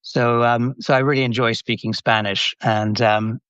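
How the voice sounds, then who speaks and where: A man from Brazil guesting on a business podcast, friendly and reflective, with a voice of ordinary middle pitch. His sound comes through a slightly dull, lo-fi line, dry and close. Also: level tone, normal speed, a bit bright, clear, resonant tone, dry recording. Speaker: guest, company CEO. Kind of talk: casual podcast interview.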